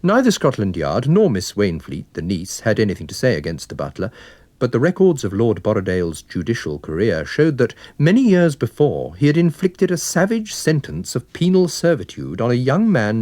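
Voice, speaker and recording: Guy narrating a mystery audiobook, very animated melody, dry studio sound. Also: mid-range voice, dry recording. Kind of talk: scripted story narration.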